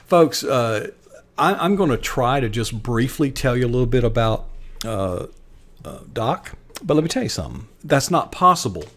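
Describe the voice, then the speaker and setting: A male host with a mid-range voice, introducing a guest enthusiastically on a live online show. He pauses often and restarts with fillers. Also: medium pitch, sing-song, fairly bright tone.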